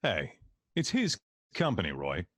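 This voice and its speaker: A man's voice: low voice, huge pitch swings, measured pace, a bit bright, slightly rough, dry recording, studio quality, exaggerated voice. A man playing an easygoing, no-nonsense security worker in an audio drama, casual and wry. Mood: firm. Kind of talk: conversational audio drama acting.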